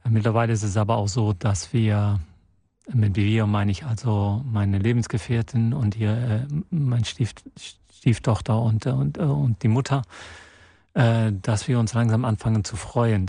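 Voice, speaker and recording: A man born in Portugal and raised in the Netherlands, guesting in a radio studio and answering haltingly with many restarts and fillers. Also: fairly low pitch, fairly even intonation, dark tone, slightly rough, no echo. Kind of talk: radio interview.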